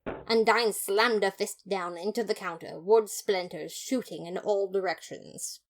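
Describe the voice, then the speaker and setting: A woman's voice: medium pitch, unhurried. A woman narrating a first-person comic detective story in a dramatic, mock-serious manner. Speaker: fan fiction narrator.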